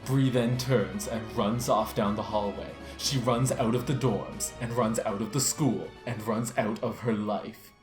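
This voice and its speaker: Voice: medium pitch, fairly even intonation, unhurried, few pauses, roomy sound, music in the background. A young adult narrator hosting a group dramatic reading and chatting casually about it.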